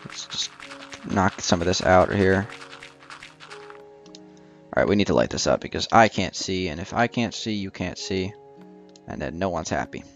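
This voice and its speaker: A man's voice: sits low, expressive, normal speed, long pauses, faint music behind. A young man casually commenting while building in a video game, rambling and joking with lots of fillers. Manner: rambling, jokey, many fillers. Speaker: gaming channel host.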